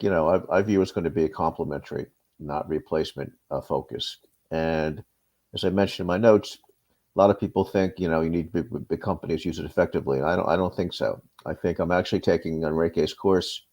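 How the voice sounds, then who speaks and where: Very deep-voiced American man, chatty. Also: a little mellow. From United States. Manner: chatty, jokey. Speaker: HR consultant and lecturer. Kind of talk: panel introduction on a video call.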